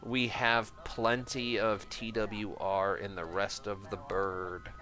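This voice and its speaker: A man's voice: on the low side, little pitch movement, takes it slowly, bright, forceful tone, soft background music. A man from Michigan with an American accent, doing casual, jokey gameplay commentary and chatting with viewers in slangy, self-deprecating talk. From Michigan, United States. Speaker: game streamer.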